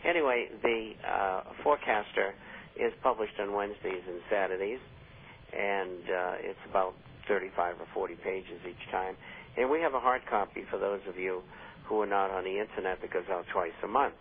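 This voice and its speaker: A man hosting a radio talk show, speaking slowly and haltingly with many fillers while losing his voice. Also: low-pitched. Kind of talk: casual radio talk and listener questions. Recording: tinny phone-line radio audio with faint hiss.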